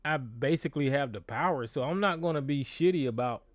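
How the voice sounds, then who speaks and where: A man's voice: medium pitch, expressive, fairly quick, dry recording. A man hosting a call-in show, talking bluntly and with a lot of profanity, often restarting his sentences. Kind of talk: casual call-in show monologue. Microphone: desk microphone with board.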